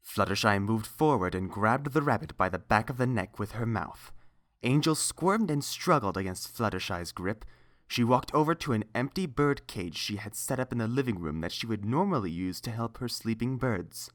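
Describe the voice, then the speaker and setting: A woman's voice: a bass voice, animated, normal speed. A woman reading a scripted story aloud as the narrator.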